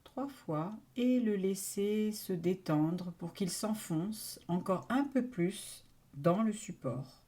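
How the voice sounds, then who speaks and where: A woman leading a guided relaxation meditation in a calm, soothing manner, speaking in short phrases with long pauses. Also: mid-range voice, breathy edge. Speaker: yoga nidra meditation guide.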